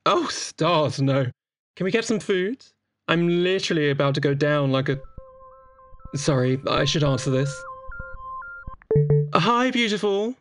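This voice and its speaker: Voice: mid-range voice, expressive, unhurried, pauses often, dry recording, faint music behind, lightly acted. An actor of androgynous voice playing a sociable, playful doctor in a chatty audio drama, exuberant, informal and teasing. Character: breezy, sociable, playful doctor at a space clinic.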